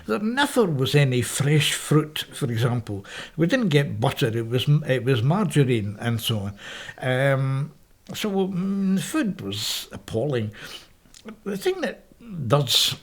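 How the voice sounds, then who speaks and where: A man's voice: medium pitch, sing-song, a little slow. A man in his seventies with a Scottish accent, recalling his school days in a reflective, wry interview, often correcting himself mid-sentence. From Scotland. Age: 70s. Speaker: interviewee, professor. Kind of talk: oral history interview, reminiscing. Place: parish house room, indoors.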